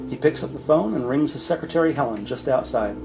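A man's voice: medium pitch, expressive, fast, gravelly. Male drama narrator over music. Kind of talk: scripted present-tense audio drama narration.

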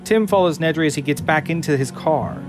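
A man's voice: a little high, fairly quick, music in the background. A man narrating a scripted audio drama, reading screenplay action.

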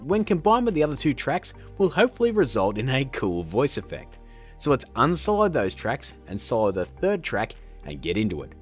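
A man hosting a casual software tutorial, upbeat and playful with joking asides. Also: medium pitch, breathy edge. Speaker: tutorial host.

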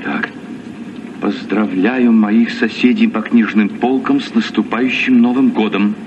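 An actor playing a gallant, earnest sea captain in a radio play, speaking in measured declarations. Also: male voice, on the low side, fairly even intonation, darkish tone, natural voice. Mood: reading solemnly. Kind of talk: Soviet radio play acting. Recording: muffled, distant, noisy old radio recording.

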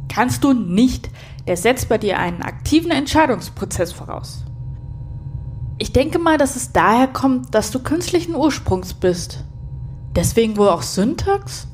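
A woman's voice: on the high side, big pitch swings, measured pace, darkish tone, slight background noise. A woman playing a blunt, sarcastic, easily exasperated space station captain in a sci-fi audio drama. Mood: dry, dismissive. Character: sardonic, irritable female space station captain.

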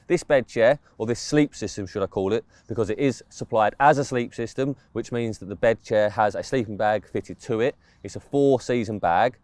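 A man's voice: on the low side, normal speed, hardly any pauses, darkish tone, dry recording. A man, talking casually through a product review while standing outdoors in woodland. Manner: chatty, informal, explains specs step by step. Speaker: fishing gear reviewer.

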